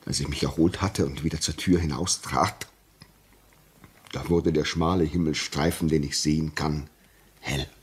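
An actor playing a grave, shaken railway signalman in a German radio play, telling his story haltingly and insistently. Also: a bass voice, fairly even intonation, slightly rough, heavily acted. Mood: grave, tense. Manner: slow, halting, repeats words for emphasis.

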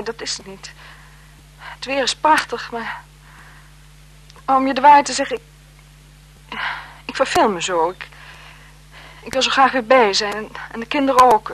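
A guarded, high-voiced marquise. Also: female voice, fairly bright tone, low-quality recording. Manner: formal, hesitant, evasive with her companion. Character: bored, guarded French marquise, wife and mother. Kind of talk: 1970s Dutch radio drama acting.

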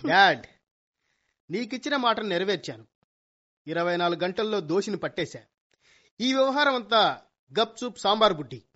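An actor playing a cocky young amateur detective son in a radio comedy, speaking with grandiose courtroom flourishes and English phrases mixed in. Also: male voice, sits high, animated, moderate pace, long pauses, pushed and bright. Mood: triumphant. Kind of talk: Telugu radio comedy play acting. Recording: dull lo-fi radio recording.

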